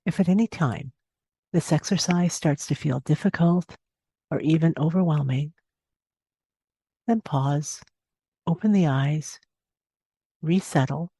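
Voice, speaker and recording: A woman leads a guided meditation in a dark, relaxed voice with a creaky edge. She gives gentle, unhurried instructions and leaves long pauses between phrases. The recording is close and dry, with no room sound. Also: low-pitched, no room sound. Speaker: meditation teacher. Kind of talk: guided body scan meditation narration.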